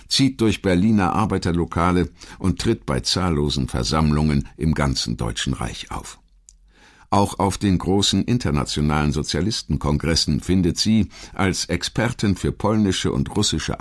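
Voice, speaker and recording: Low-pitched man narrating. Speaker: documentary narrator. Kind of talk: scripted biographical narration.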